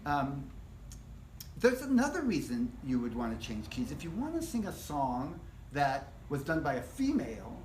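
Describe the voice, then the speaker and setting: A man teaching a casual live guitar workshop, explaining things step by step in a relaxed, rambling way. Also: fairly high pitch, moderate pace, slight background noise. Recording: echoey room.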